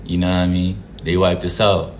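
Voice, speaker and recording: A man's voice: low-pitched, little pitch movement, moderate pace, a little hiss or hum. A man from Jamaica hosting a casual live stream, greeting viewers by name in a laid-back, repetitive way. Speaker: livestream host.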